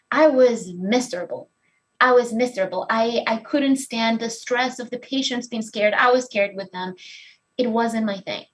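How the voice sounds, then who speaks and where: Woman, 30s, candid expressive storytelling, pokes fun at herself. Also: fairly high pitch. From Venezuela. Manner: candid and expressive, self-deprecating. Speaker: speaker giving a talk. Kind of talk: personal story talk.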